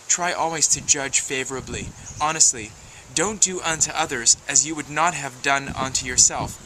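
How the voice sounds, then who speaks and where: A man's voice: on the high side, bright, forceful tone, slight background noise, rough recording. A man giving a wordy, emphatic, preachy advice monologue as a video blogger.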